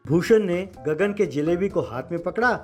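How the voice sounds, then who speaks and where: A man's voice: high-pitched, animated, normal speed, soft background music. A man narrating a scripted children's-style story as its narrator.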